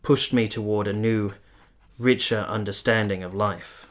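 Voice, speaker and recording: Male actor as young officer, lowish voice, earnest, tender, measured literary phrasing, period radio play. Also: steady tone, close to an everyday voice. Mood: reflective, wistful. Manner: measured, sincere, literary delivery.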